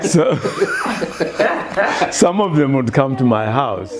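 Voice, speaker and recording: A man's voice: a little high, sing-song, drawn-out words. An older man with an East African accent reminiscing casually in an interview, often repeating words for emphasis. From Uganda, grew up partly in Mombasa, Kenya. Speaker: artist being interviewed. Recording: busy chatter in the background. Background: crowd chatter.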